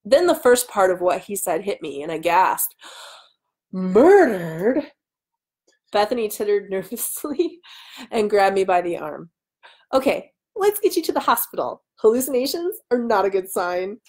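A woman in her thirties reads a first-person story aloud. She acts out the dialogue as she goes, at a middle pitch. It is recorded on a webcam mic in a small room at home. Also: big pitch swings, unhurried, a little mellow, slightly rough. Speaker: author and host. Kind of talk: animated live reading of a first-person story. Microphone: webcam mic at arm's length.

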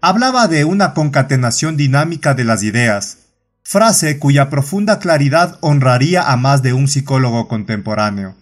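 A man narrates a story in a measured, even reading voice of middling pitch. Soft music plays faintly behind him, and the whole thing has the feel of an audiobook.

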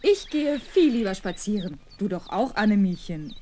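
Lilting, highish governess with faint birdsong behind, acted by a woman. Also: big pitch swings, normal speed, warm tone, slight background noise, natural voice. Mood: cheerful, patient. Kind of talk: 1970s German children's radio play acting.

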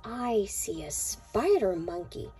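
A woman reading a picture book aloud to young children in a deliberate, sing-song way. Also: medium pitch, sing-song, dark tone, soft background music. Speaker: children's read-aloud narrator.

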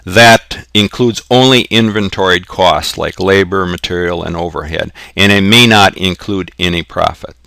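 Male instructor, very low gravelly voice with a bright edge, step-by-step explainer, informal delivery. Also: normal speed, hardly any pauses, no room sound, clean studio sound. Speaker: accounting instructor. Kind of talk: informal tutorial narration explaining worked examples step by step. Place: close indoor voice-over for a screen recording.

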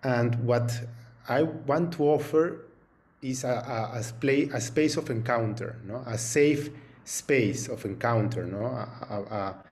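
A middle-aged man from Peru, a program director, giving a halting video-call invitation that keeps repeating words and tagging on "no?". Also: medium pitch, darkish tone. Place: home room with paintings on the wall. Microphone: video call webcam.

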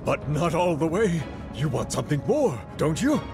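An actor playing an arrogant, cowardly, scheming company president in a video game, theatrical and manipulative in manner. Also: male voice, fairly high pitch, sing-song, normal speed, gravelly, over music. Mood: sly, bargaining. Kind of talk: dramatic video game cutscene acting.

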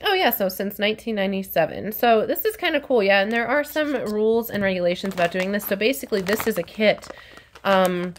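A woman's voice: medium pitch, brisk, slight background noise. A woman in her forties, congested and a bit nasal, chatting casually to a phone camera at home with lots of ums and likes. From North Carolina, USA. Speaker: artist vlogger. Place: small room at home, indoors. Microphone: phone camera at arm's length.

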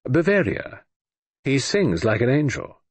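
A husky-voiced man playing a polite, nervous middle-aged bridegroom speaking in earnest, fretful tones. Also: medium pitch, sing-song, a little slow, no echo, pitched above the performer's own voice. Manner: polite, earnest, a little anxious.

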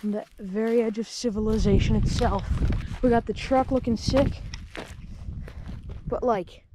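A teenage boy vlogging casually on a mountaintop, excitable and full of slang, filmed on a handheld action camera. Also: high-pitched, a little slow. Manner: excitable, slangy, exclaims often. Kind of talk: casual outdoor vlog. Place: outdoors on a windy mountaintop. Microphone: action camera held at arm's length. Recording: noisy outdoor camera audio.

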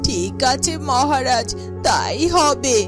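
A young maid of a fairy-tale palace, anxious yet dutiful. Her high voice rises and falls freely in fretful, earnest cartoon melodrama, with music clearly playing behind it. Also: expressive, takes it slowly. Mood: startled, respectful.

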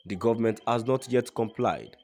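A man's voice: medium pitch, normal speed, a little mellow. A man narrating a scripted news report in a formal read-aloud manner.

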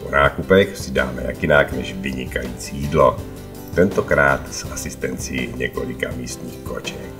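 A man's voice: very deep voice, steady tone, over music. A man narrating a travel vlog from a prepared script, as a voice-over.

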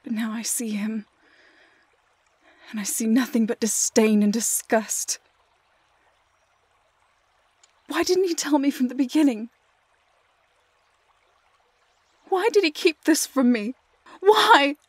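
A woman playing a heartbroken young woman lost in a fairy-tale forest, in an emotional audio roleplay, halting and often dropping to a whisper. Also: fairly high pitch, animated, husky, no room sound. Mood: anguished.

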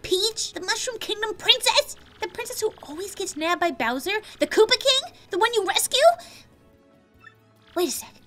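A very high, lively game character: a young Goomba student with plenty of sass. She exclaims in valley-girl slang and swings up and down excitedly. Light music plays behind her. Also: exaggerated voice. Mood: intrigued. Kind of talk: video game dialogue voice acting.

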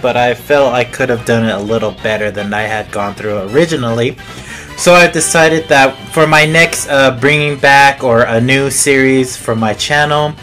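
A man giving casual, excitable gaming commentary in a rambling, gushing way as a video host. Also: mid-range voice. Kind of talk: casual let's play commentary. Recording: game music under the voice. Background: game intro music.

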